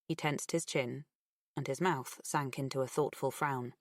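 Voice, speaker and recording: A woman's voice: very deep voice, fairly even intonation, a little slow, dry recording, studio quality. A woman reading scripted fiction as an audiobook narrator.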